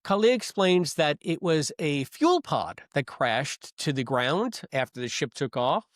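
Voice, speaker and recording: A man's voice: fairly high pitch, sing-song, measured pace, dry recording, clean studio sound. A man co-hosting a podcast in a casual, chatty review style. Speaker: podcast co-host. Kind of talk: casual review chat with recaps.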